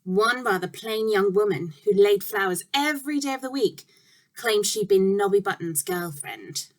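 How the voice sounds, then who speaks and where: A woman narrating a children's story in a measured, expressive way, phrasing clearly and stressing key words. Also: mid-range voice.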